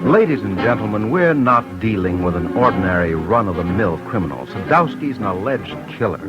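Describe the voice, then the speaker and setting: A man's voice: on the low side, sing-song, brisk. A man hosting an old-time radio crime program, reading a script in a grave, urgent manner with direct appeals to listeners. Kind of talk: mid-century radio scripted narration. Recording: muffled old radio broadcast with music. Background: music.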